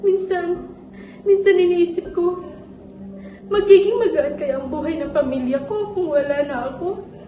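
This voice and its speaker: A young woman playing a halting, despairing student. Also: very high voice, mellow, slight background noise. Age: young adult. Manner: halting, repeats her words, trails off. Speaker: student actor. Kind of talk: stage monologue. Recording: echoey room, distant mic, music.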